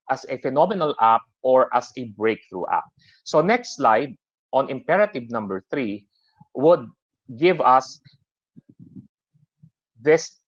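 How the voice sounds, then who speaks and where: A man's voice: mid-range voice, no echo. Hesitant Filipino man presenting a webinar. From Philippines. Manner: hesitant, many fillers and restarts. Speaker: webinar presenter for a super app. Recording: dry, slightly dull webinar audio.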